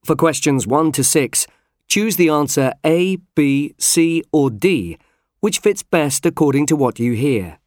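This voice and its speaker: Male announcer, formal and deliberate, slightly higher voice, dry studio read. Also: lively intonation, a little mellow, no room sound. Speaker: exam announcer. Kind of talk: reading test instructions.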